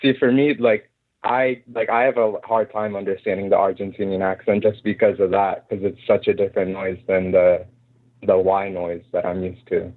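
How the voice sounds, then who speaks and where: Young man, student caller, polite, friendly, low voice, phone-line sound. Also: flat delivery, normal speed, no echo. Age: young adult. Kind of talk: casual phone call.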